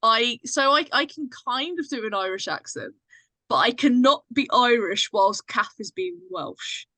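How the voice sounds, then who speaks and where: A woman chatting casually in a group as a voice actor, telling stories in an animated, self-deprecating way. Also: on the high side, measured pace, no room sound. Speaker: voice actor and podcast panellist.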